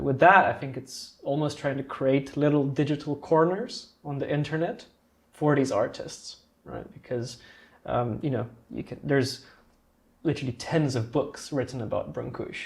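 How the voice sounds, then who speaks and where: A man from Romania talks as a podcast guest in a mid-pitched voice with plenty of gravelly creak. He explains things in a casual, conversational way, often checking in with the listener. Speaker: podcast guest, art project creator.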